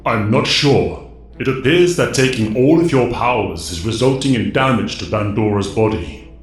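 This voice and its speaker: An actor playing a grave, wise mentor of heroes in an audio drama. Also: male voice, mid-range voice, expressive, moderate pace, faint music behind, close to an everyday voice. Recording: echoing, roomy sound.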